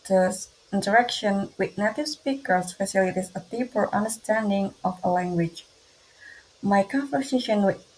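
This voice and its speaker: Slow, breathy woman reading her presentation. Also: mid-range voice, darkish tone, rough recording. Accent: Indonesian. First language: Indonesian. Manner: slow, careful reading with grammar slips. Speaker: student presenter. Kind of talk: reading a class presentation aloud.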